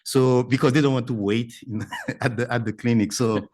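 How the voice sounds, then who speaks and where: A man from Haiti, a physician speaking on a conference panel in a measured, thoughtful way with pauses and fillers. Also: mid-range voice, steady tone, a little mellow. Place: conference breakout room.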